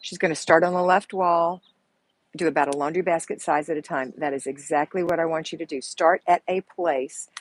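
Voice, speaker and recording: A soft-spoken woman with a drawl chats through a live stream, reading comments and coaching viewers in a motherly way. Her voice sits a little low and carries a husky, breathy edge. She is picked up by a small earbud microphone. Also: steady tone, a little fast, no echo. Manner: chatty, motherly, calls viewers honey and girls. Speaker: home organizing coach hosting a live chat. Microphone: earbuds with mic.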